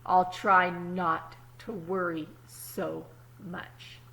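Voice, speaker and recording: Slow-paced woman reading a storybook to children. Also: fairly low pitch, gravelly. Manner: expressive, explains words simply and checks in with young listeners. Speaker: teacher reading aloud to children. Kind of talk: children's storybook read-aloud with asides.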